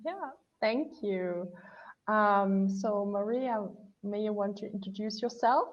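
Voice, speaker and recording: A woman's voice: medium pitch, slow pace. Woman moderator, hesitant fillers, muffled lo-fi mic. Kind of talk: online conference Q&A.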